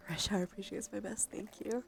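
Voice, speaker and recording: A woman streaming soft ASMR chat, often slipping into a whisper, sniffly with allergies and a sore throat, casual and affectionate with some swearing. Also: medium pitch, steady tone, fairly quick, faint music behind. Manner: breathy, frequent drops into whisper. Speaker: livestream host.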